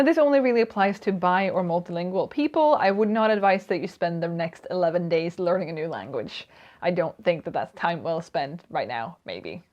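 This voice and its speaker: A woman's voice: medium pitch, lo-fi sound. A young Swedish woman vlogging casually about writing, speaking English with a Swedish accent in a chatty, self-deprecating way. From Sweden. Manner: chatty, self-deprecating, tends to restart and repeat words. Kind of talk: casual talking-head vlog.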